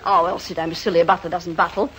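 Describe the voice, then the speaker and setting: Bossy, scoffing Australian spinster sister played by an actress, noisy old recording. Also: female voice, fairly low pitch, level tone, very fast, close to an everyday voice. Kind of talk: old-time radio comedy acting.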